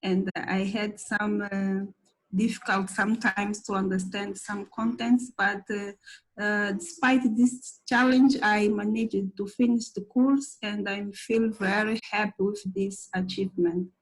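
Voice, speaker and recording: Woman from Mozambique with a Portuguese accent; slow, halting graduation thanks. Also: mid-range voice, fairly even intonation, low-quality recording. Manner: slow, halting, with many fillers. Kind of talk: graduation thank-you speech.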